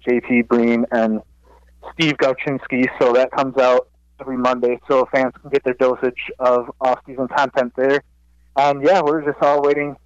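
A man's voice: mid-range voice, clear, resonant tone, no echo. Young guy student writer, rambles n corrects himself, dull dry line. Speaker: guest sports writer. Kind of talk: casual interview answers.

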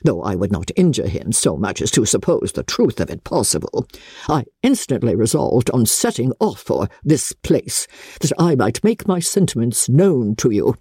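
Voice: medium pitch, sing-song, a little slow, dark tone, no echo, studio quality, pitched above the performer's own voice. A reader voicing a haughty, imperious elderly aristocratic lady, speaking stiffly and commandingly in an audiobook. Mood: angry. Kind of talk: audiobook character reading.

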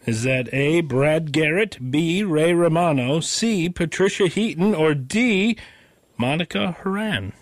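Male co-host, slightly higher voice, dry wisecracks, close dry studio sound. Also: animated, takes it slowly, no echo. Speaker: radio co-host. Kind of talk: radio banter and quiz reading. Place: radio studio.

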